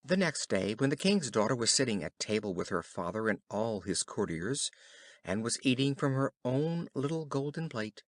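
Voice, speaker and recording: A man narrating a children's fairy tale in an expressive, careful storytelling style. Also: medium pitch, moderate pace, dry recording, clean studio sound. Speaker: audiobook narrator.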